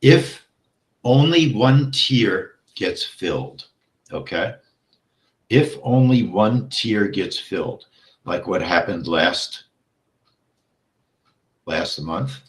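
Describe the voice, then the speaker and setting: Low, slow man getting hoarse. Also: echoey room. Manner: steady, explains step by step, often checks with "okay?". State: getting hoarse, clears throat often. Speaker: trading instructor. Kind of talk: live trading walkthrough.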